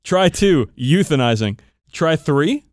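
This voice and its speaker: A man's voice: a little high, sing-song, slow pace, dry recording, clean studio sound. A man co-hosting a podcast in casual chat, emphatic, irreverent and full of swearing. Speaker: podcast co-host. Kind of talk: casual banter about tabletop wargaming.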